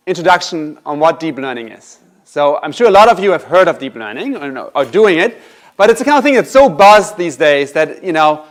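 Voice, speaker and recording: A man giving an academic lecture as guest speaker, relaxed and conversational with frequent fillers and a loud voice. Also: high-pitched.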